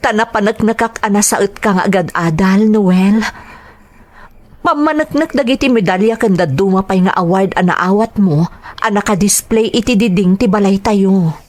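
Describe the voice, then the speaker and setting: A woman plays a devoted mother in an audio drama. Her voice sits at a middle pitch, and she speaks earnestly, as one who has sacrificed much for family. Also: normal speed, darkish tone, lightly acted. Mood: loving, earnest. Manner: earnest, heartfelt. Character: devoted, self-sacrificing mother recalling hardship abroad. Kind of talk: dramatized first-person narration.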